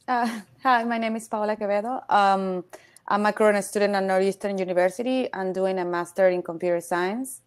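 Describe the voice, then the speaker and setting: A young woman speaking on a panel as a graduate student over a video call. She talks hesitantly and leans on "like" as she searches for words. Her voice sits at a middle pitch. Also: steady tone, a little fast, clear, resonant tone. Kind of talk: casual panel talk.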